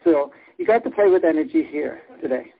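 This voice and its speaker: Gravelly man hosting over a phone line. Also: fairly high pitch, wildly swooping pitch. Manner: rambling, frequent filler pauses. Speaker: workshop host. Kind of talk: relaxed spiritual workshop talk. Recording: phone-line webinar with faint noise.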